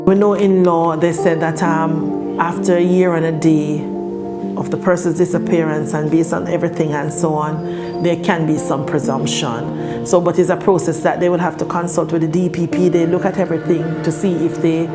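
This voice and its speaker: A woman with a Jamaican accent giving a formal, halting and measured interview as a police official. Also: low-pitched, level tone. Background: background music.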